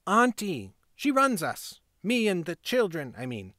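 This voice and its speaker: Guy doing a cocky little pickpocket kid, high and bouncy, all brag. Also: wildly swooping pitch, unhurried, bright, forceful tone, no room sound, clean studio sound, full character voice. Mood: boastful. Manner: boastful, high childish bluster. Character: cocky boy street pickpocket, boastful.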